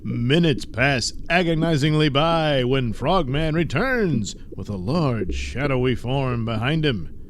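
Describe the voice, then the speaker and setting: A man with a medium-pitched voice narrates an adventure serial. His delivery is scripted and dramatic, in the role of storyteller. Faint noise runs behind the voice. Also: lively intonation, takes it slowly.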